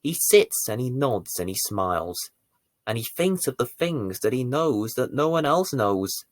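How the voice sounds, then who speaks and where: A man reading a bedtime story to young children as its presenter, with deliberate, theatrical emphasis. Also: medium pitch, very animated, dry recording. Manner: deliberate, theatrical emphasis with dramatic swells and hushes.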